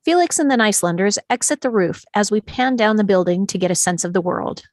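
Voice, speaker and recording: Woman reading out script action lines at a table read, medium pitch, no room sound. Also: expressive, fairly quick, dry recording, studio quality. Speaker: stage-directions reader.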